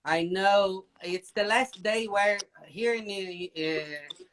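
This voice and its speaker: A man's voice: high voice, extremely slow. A Brazilian man with a Brazilian Portuguese accent, hosting a casual live stream from the UK and chatting with his viewers in Portuguese and accented English. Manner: chatty, animated, addresses viewers as "gente". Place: home in the UK.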